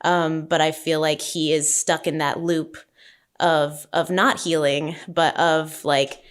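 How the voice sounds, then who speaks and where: A woman with a notably low-pitched voice discusses a script informally. She is thoughtful and explanatory, working through her reading of the character in everyday language. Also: flat delivery, slow pace, smooth. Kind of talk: casual analytical discussion of a script.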